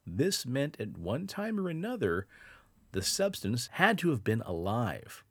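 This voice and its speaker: Animated male podcast storyteller. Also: medium pitch, a little slow, husky, dry recording, studio quality. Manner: animated storyteller, laughs often. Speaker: podcast host. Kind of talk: casual storytelling podcast chat.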